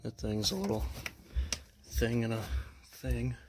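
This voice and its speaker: A young man chatting casually in a vlog, halting and full of fillers, filming indoors at a house. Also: medium pitch, expressive, noisy background. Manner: halting, many fillers, unsure. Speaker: vlogger. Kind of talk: casual show-and-tell vlog.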